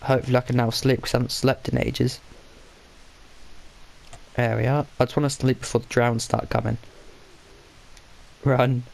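A man's voice: mid-range voice, little pitch movement, normal speed, creaky, long pauses, darkish tone. A man with a blocked, stuffy nose giving casual, rambling commentary while he plays a game, often correcting himself and muttering to himself. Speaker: gaming channel host.

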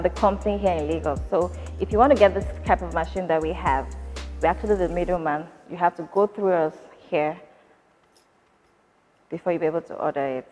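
Nigerian woman, 30s, CEO on camera; lowish voice, measured, some ums; long hall reverb with faint music under it. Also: darkish tone. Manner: measured, thoughtful, with frequent "um" fillers. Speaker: company founder and CEO. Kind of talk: interview answers to an off-camera interviewer. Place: factory floor, indoors.

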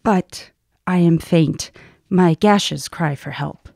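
A woman playing a wounded, loyal sergeant in a Shakespearean radio drama, declaiming gravely. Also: fairly low pitch, slow pace, a little mellow, no echo, clean studio sound, heavily acted. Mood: faint, pained. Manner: declamatory, weighty storytelling.

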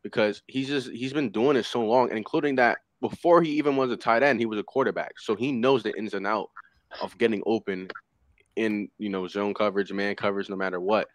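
A man's voice: on the low side, a little fast, no echo, poor audio. A young man on a headset in a video call, talking football in a loose, rambling way with many fillers. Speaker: panelist. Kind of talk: casual sports chat.

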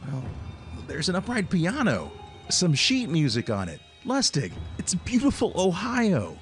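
A man as an animated, commanding spaceship captain. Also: sits high, unhurried, faint music behind, full character voice. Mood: baffled, insistent. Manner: commanding, urgent. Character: stern, agitated male spaceship captain. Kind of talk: radio drama acting.

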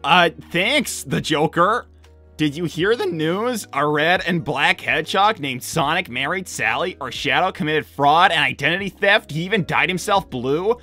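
A woman's voice: low-pitched, lively intonation, normal speed, bright, forceful tone, soft background music, exaggerated voice. A woman voicing a laid-back young male cartoon hedgehog hero, chatting in a casual, hesitant, rambling way on an in-character livestream. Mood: dismissive, reassuring. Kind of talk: improvised in-character livestream chat.

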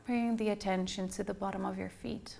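A woman guides a meditation with soothing, unhurried instructions. Her pitch stays fairly even, and there is a clear creak in her voice. She sounds like a yoga teacher gently leading a practice. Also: slight background noise.

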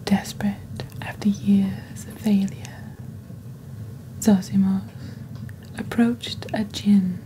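Woman playing a slow, whispery, mysterious witch. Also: medium pitch, little pitch movement, natural voice, rough recording. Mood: calm, mysterious. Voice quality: soft, breathy, near-whispered. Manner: slow, deliberate, measured phrasing. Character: witch presenting a magical shopping channel, calm and mysterious. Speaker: host of an ASMR roleplay channel. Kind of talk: soft-spoken ASMR scripted product presentation. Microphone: close desk microphone.

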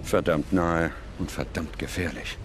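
A man's voice: sits low, steady tone, measured pace, background noise. A man reading scripted documentary narration as the narrator. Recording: music under the voice. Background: music.

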